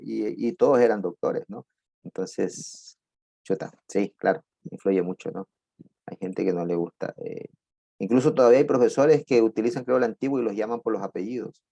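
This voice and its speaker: A man's voice: fairly low pitch, gravelly, mellow, no echo. A middle-aged man, giving a conversational university lecture full of digressions and asides. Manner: digressive, chatty, with asides and laughs.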